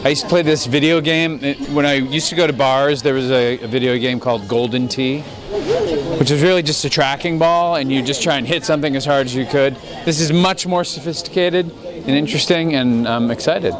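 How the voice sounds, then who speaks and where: A man chatting in a relaxed, conversational way at a premiere. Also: mid-range voice, a little fast, crowd noise. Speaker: guest. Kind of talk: casual carpet interview. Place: outdoor premiere carpet at a venue. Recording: clear music behind.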